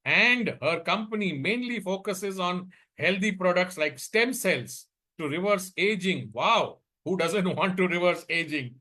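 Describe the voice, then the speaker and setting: A man's voice: sits high, pushed and bright. A man hosting a talk show, reading out an introduction of a guest.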